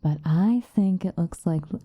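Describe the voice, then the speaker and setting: Woman playing a dark, hushed, seductive vampire. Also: lowish voice, no echo, clean studio sound. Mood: teasing, flattered. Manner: soft, breathy, drifting into whispers, unhurried. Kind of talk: ASMR roleplay, intimate confessional monologue. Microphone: close microphone, dry.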